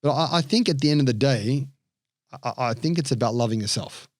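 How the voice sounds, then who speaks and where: A man with an Australian accent, a podcast guest and coach, telling stories in a lively way and acting out the voices of people he quotes. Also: medium pitch, fairly quick, no room sound. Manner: animated storyteller, acts out quoted voices. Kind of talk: storytelling interview chat.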